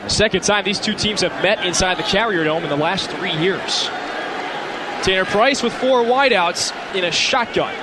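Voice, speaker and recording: A man's voice: sits high, moderate pace. A young American man calling live football play-by-play for radio in vivid, clipped running phrases. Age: young adult. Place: stadium broadcast position, indoor dome. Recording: noisy live stadium broadcast. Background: loud stadium noise.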